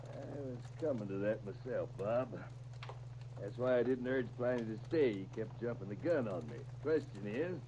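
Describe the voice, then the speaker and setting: A man's voice: medium pitch, expressive, moderate pace, lightly acted. An actor playing a shrewd, fatherly older small-town storekeeper with a drawling rural American accent, in 1930s radio drama style. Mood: calm, sly. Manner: drawling homespun country talk. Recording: dull, noisy 1930s radio disc recording.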